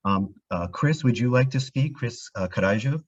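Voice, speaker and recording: A man moderating a discussion, speaking in a rushed way before handing over to another speaker. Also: mid-range voice, animated, muffled recording.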